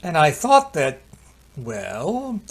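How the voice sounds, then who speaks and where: An actor playing an ailing but hearty and generous husband of fifty-five in an old-time radio drama. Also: male voice, on the high side, wildly swooping pitch, very slow, vocal fry, breathy edge, heavily acted. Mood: genial.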